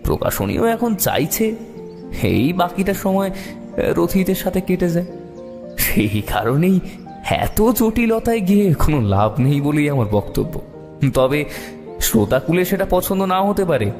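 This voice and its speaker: A man's voice: a little high, a little mellow. A man playing a wry, reflective bachelor writer who narrates a detective audio story. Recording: long reverb, music behind.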